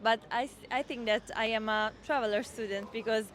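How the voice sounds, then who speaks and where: Female student interviewee, twenties, Italian accent, slightly high pitch, faint ambient noise. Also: fairly even intonation, brisk, a bit bright. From Italy. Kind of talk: informal interview answers.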